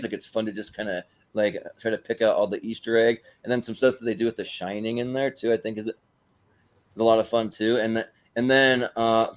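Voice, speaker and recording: Male panel host, medium pitch, casual chat style, meandering delivery with repeated words. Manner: rambling, repeats words as he goes.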